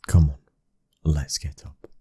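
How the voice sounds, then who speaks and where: A British man playing a protective, devoted young mafia heir and lover in an intimate ASMR roleplay, speaking softly and tenderly, often near a whisper. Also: slow pace, dry recording, close to an everyday voice. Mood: affectionate, relaxed.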